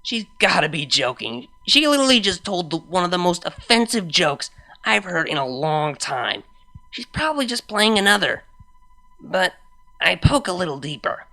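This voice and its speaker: A woman reading a story aloud as an expressive first-person narrator. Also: on the low side, moderate pace, gravelly, dry recording. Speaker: fan fiction reader.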